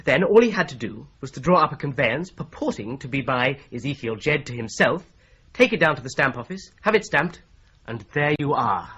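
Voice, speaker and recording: An actor playing a methodical solicitor-detective who patiently lays out a fraud theory, in a radio drama. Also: male voice, medium pitch, big pitch swings, normal speed, sharp tone, slightly rough, exaggerated voice. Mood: explaining. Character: Boone, shrewd young male solicitor-detective, patient explainer. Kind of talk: BBC-style radio drama acting. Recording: dull lo-fi radio play recording.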